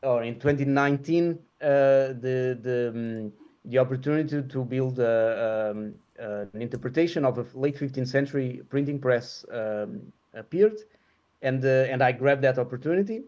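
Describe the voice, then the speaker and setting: A man from Portugal, a guest printmaker, talking casually in an interview and pausing often with fillers and restarts. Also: mid-range voice, a little mellow, highs cut off. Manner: halting, many fillers and restarts.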